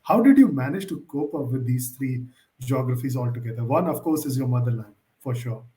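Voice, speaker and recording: Formal male interview host, mellow voice. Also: medium pitch, lively intonation, brisk, reverberant. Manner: formal, elaborate phrasing with "I would say". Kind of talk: interview questions.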